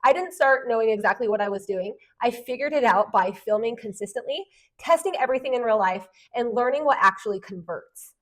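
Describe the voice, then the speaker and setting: A woman's voice: fairly high pitch, expressive. A woman around forty hosting a podcast, polished in her intro and chatty when she explains things. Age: late 30s to 40. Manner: brisk, polished delivery that turns chatty and explanatory. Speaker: podcast host and Amazon influencer. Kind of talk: podcast conversation.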